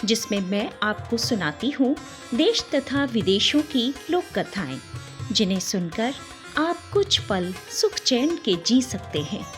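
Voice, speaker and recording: A woman storyteller reading a folk tale aloud in a slow, measured, expressive narration. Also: on the high side, faint music behind.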